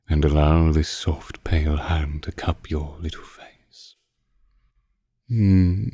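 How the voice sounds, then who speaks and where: A man playing a seductive, possessive vampire in an intimate ASMR roleplay, teasing his captive with condescending tenderness in a slow purr. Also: a bass voice, warm tone, slightly rough, no room sound, studio quality, exaggerated voice. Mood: mocking tenderness.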